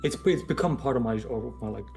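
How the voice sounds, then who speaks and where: A man's voice: medium pitch, fairly quick, darkish tone, soft background music. Playful man, non-native English speaker. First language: not English. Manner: playful, teasing, blunt. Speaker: streamer co-host. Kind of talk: casual livestream chat.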